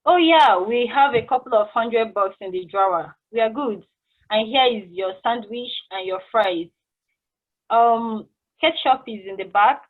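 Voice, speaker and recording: A woman's voice: fairly high pitch, unhurried. A woman playing a friendly, curious, somewhat awkward drive-through cashier in a casual play reading, speaking hesitantly and informally. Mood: friendly, businesslike. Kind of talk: casual table read of a stage play. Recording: muffled, phone-like band-limited call audio.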